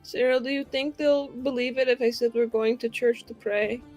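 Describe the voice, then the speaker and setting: A woman's voice: on the high side, steady tone, moderate pace. A woman playing a tabletop game, improvising casually with a flippant, informal way of talking. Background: soft background music.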